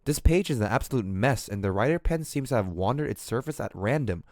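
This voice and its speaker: A man's voice: mid-range voice, animated, fairly quick, a little mellow, dry recording. A man livestreaming a game, reading in-game text aloud and commenting in a casual, slangy way. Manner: casual, slangy, mild swearing. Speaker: streamer.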